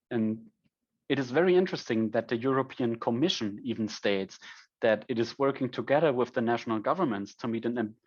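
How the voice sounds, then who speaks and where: A young man in his twenties from Germany, speaking English with a German accent, giving a conference presentation and filling pauses with frequent ums. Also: mid-range voice, dull audio. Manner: frequent ums, self-corrections.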